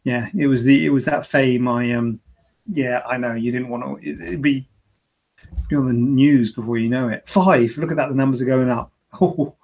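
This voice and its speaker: Gravelly, husky man hosting a live Q&A. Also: medium pitch, fairly even intonation, normal speed, darkish tone. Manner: chatty, joking, self-deprecating asides; explains medical advice plainly. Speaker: plastic surgeon hosting a live Q&A. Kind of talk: live-streamed Q&A, casual chat.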